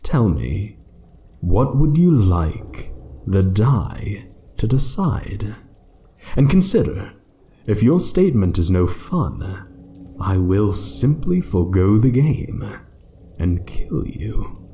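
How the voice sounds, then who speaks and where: An ancient dragon guarding its hoard , acted by a man, speaks in a dark, mellow voice that swings widely in pitch. The delivery is measured and theatrical, sometimes hushed, with a condescending, playful menace suited to fantasy ASMR. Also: sing-song, slight background noise, heavily acted. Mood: menacing, playful. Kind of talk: fantasy ASMR roleplay monologue.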